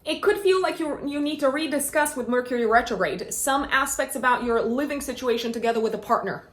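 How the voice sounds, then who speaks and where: A woman from Romania, a native Romanian speaker, talks with a fairly high-pitched voice in a noticeably echoey room. She hosts a horoscope in a chatty, informal way, repeating words as she thinks aloud and keeping a playful touch. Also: a little fast, fairly bright tone. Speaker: astrologer and host. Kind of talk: casual, chatty monthly horoscope talk.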